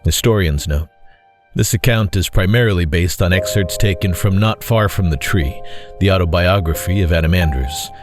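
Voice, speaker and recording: A low male voice from an actor plays a formal chronicler introducing an archived account. The delivery is documentary-like and scripted, over clear music. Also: normal speed, lightly acted. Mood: formal, grave.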